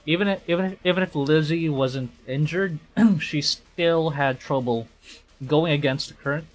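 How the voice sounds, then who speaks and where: A man's voice: on the high side, moderate pace, pitched above the performer's own voice, close to an everyday voice. A man voicing an urgent, irritated young survivor in a horror visual novel, exclaiming bossy orders. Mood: worried. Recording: music behind the voice.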